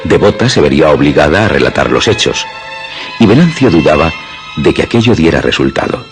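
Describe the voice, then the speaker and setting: A man's voice: rumbling low, very animated, fairly quick. A man narrating a radio drama from a script in a dramatic style. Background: music.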